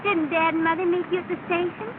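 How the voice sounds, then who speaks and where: A woman's voice: extremely high pitch, steady tone, quick pace. An actress playing a cheerful, gracious young woman, teasing and polite, in 1930 film acting. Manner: bright, polite, lightly teasing. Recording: scratchy, tinny 1930 film soundtrack with hiss.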